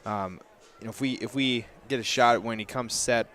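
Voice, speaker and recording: A man's voice: medium pitch, fairly even intonation, moderate pace, a bit bright. A young American man giving informal, explanatory baseball analysis as a color commentator on a headset mic. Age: young adult. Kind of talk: conversational sports analysis.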